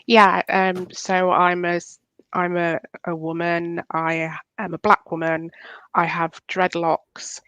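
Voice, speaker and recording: Woman, Yorkshire accent, video-call guest, headset mic, even tone, dull dry sound. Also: fairly low pitch, slow pace, clear, resonant tone, dry recording. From Yorkshire, England. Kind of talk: casual video call chat. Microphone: headset microphone.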